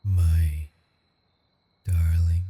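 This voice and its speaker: A man playing a lonely, tender ghost lover in a romantic ASMR roleplay, speaking haltingly and intimately in a breathy, husky voice. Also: low-pitched, flat delivery, warm tone, exaggerated voice. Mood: intimate, devoted. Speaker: audio roleplay performer. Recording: long reverb with music behind.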